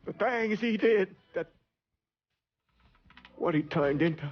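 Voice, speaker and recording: An actor playing an anguished mobster with a husky voice in a video game cutscene. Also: male voice, sits high, lively intonation, slow, muffled recording, exaggerated voice. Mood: anguished, defiant.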